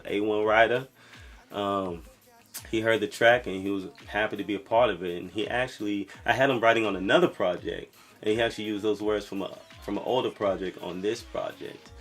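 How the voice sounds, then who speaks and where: Young man from Brooklyn, singer-songwriter, low soft voice, relaxed and rambling. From Brooklyn, New York. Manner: relaxed, rambling, lots of fillers like "you know". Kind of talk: casual talk to camera about his music.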